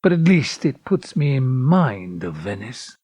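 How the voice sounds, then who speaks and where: Old storyteller, wry, reminiscent, slow, animated intonation, audio drama. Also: male voice, medium pitch, husky, no room sound. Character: wry elderly man recalling his past love affairs. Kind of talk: first-person dramatic narration.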